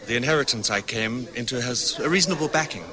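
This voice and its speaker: A man's voice: mid-range voice, fast, crowd noise, close to an everyday voice. An actor playing a polite, reserved well-off young man from Australia, a guarded newcomer, in a 1950s radio drama.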